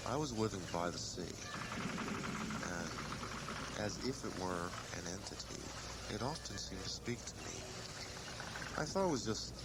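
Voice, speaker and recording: Man, unhurried, mid pitch, reflective spoken-word presenter, homemade recording with loud rumbling noise. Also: animated. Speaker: audiozine maker and presenter. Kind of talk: spoken verse and audiozine announcement.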